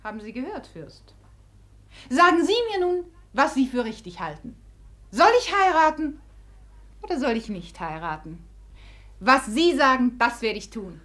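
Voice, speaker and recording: A proud Russian society woman, speaks in a high voice. She is imperious and mocking, with fiery theatrical delivery in the manner of 1950s radio drama. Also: sing-song, unhurried, pauses often, a bit bright. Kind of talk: 1950s German radio play acting.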